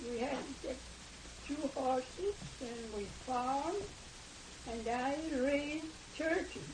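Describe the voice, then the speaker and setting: A Scottish woman of about ninety talks slowly, with many pauses and fillers, in a fairly high voice. Her memories come out in a gentle, hesitant way. A steady tape hiss sits under the old 1970s recording. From Scotland. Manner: slow, hesitant, reminiscing with fillers. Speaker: interviewee. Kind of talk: oral history interview.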